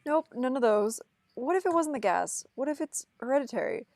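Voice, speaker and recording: A woman's voice: high voice, very animated, brisk, a little mellow. A woman playing an awkward, reluctant, exasperated young man in an amateur script reading, flustered and protesting.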